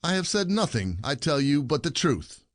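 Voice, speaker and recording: An actor playing a bitter, sarcastic husband, a rich commoner full of complaint, in a theatrical comedy audio play. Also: male voice, a little high, very animated, normal speed, no echo, studio quality. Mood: insistent.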